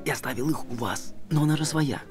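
An actor as a cheeky, quick-talking young man. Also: on the high side, soft background music, natural voice. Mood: eager. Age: young adult. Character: cheeky, eager young playwright playing amateur detective. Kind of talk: screen drama acting. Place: cafe interior.

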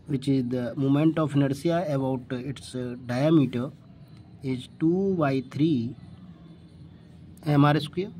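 A man with an Indian accent tutoring physics, working through a problem step by step in deliberate, halting phrases. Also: medium pitch, warm tone, a little hiss or hum. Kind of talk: step-by-step worked-problem lesson.

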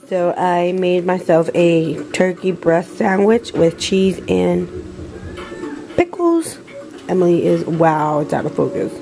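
A young woman vlogging casually at home and in her car, filming herself at arm's length. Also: fairly low pitch. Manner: chatty, talks softly while baby sleeps. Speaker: family vlogger. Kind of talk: casual vlog narration. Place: living room at home, later in a car. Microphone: handheld camera at arm's length. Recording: noisy home and car background.

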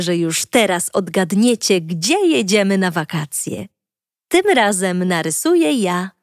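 A woman narrating a children's audiobook as a cheerful young girl, talking brightly and directly to young listeners. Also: mid-range voice, no room sound, studio quality. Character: cheerful young girl telling her own story. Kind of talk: first-person storybook narration for children.

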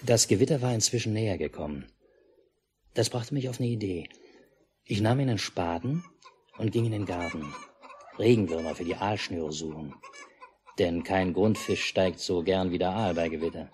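A man's voice: low-pitched, slight background noise, lightly acted. An actor playing a placid, unflappable family man in a 1950s radio play, speaking dryly and without hurry. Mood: reflective. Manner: calm, dry, unhurried. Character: unflappable, placid family man telling his war story. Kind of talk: 1950s German radio play narration and dialogue.

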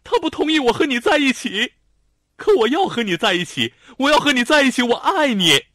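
Brief: man playing a young rich girl, lovesick, pleading and loud, pitched high, storybook reading. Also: sing-song, normal speed, no room sound, studio quality, full character voice. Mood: crying out. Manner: pleading, raised, near shouting. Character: young woman, rich man's daughter, lovesick and insistent. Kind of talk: audiobook character voice.

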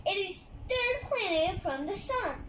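Young child, book read-aloud host, slow pace, excited asides, faint background noise. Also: very high voice, echoey room. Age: child, about six to eight. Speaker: young host of a kids' book review channel. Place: small room indoors.

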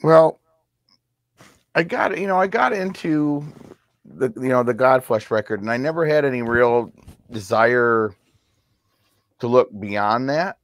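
A middle-aged man in a casual music discussion on a video call, speaking plainly and a little haltingly. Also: mid-range voice, expressive, no room sound. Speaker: album review panelist. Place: home record room, indoors.

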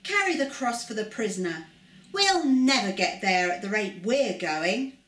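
A woman's voice: medium pitch, very animated, fairly bright tone. A woman voicing an impatient Roman centurion. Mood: commanding. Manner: brisk, ordering. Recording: roomy echo, voice off-mic.

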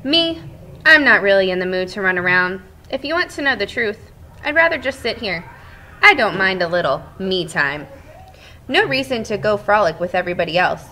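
A woman voicing a chatty, cheerful male egg in a storybook read-aloud, with bouncy, expressive delivery. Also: medium pitch, moderate pace, a little hiss or hum.

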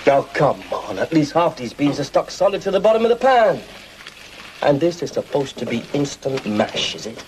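An actor playing a middle-aged schoolteacher, brisk and drily sarcastic with his pupils, recorded as 1980s TV drama location sound with noise behind. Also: on the high side, very animated. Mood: sardonic. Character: dry, sardonic male schoolteacher on a school trip. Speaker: teacher.

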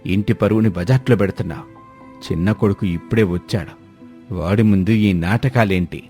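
A grave old head of the household, acted by a male storyteller, pitched low over background music. He speaks with slow, weighty authority, scolding with dignity as in a family moral story. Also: a little mellow, natural voice. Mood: stern, admonishing. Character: stern elderly father-in-law, dignified head of household.

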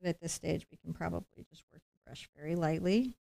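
A woman's voice: fairly low pitch, a little fast, vocal fry, mellow. A middle-aged woman giving a conversational step-by-step painting lesson, chatty and explanatory with reassuring asides. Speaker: painting instructor, host.

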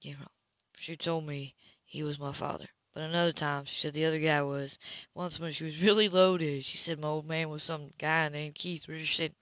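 A woman reads as a guarded young boy in an audiobook. The delivery is matter-of-fact and slangy, in a lowish register. The recording is slightly dull and dry. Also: flat delivery, normal speed, fairly bright tone, dry recording. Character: frank, streetwise, guarded young boy in novel.

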